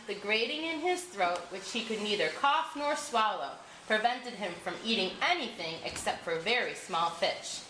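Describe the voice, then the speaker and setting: A woman reading a scripted puppet show story aloud as narrator, with expressive delivery. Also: on the high side. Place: school room. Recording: roomy room sound with faint noise.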